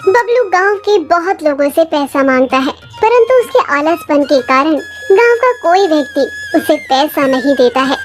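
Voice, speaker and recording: Children's storyteller: high, childlike voice, scripted narration, light music bed. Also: lively intonation, moderate pace, hardly any pauses. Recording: music under the voice.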